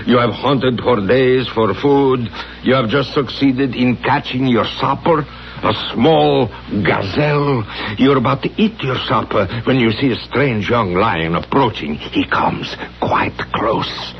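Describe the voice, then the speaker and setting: An actor playing a pompous, theatrical acting coach in a 1970s radio drama, with grandiose, deliberate delivery. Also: male voice, medium pitch, close to an everyday voice. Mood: dramatic, building. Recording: dull, noisy old radio broadcast recording.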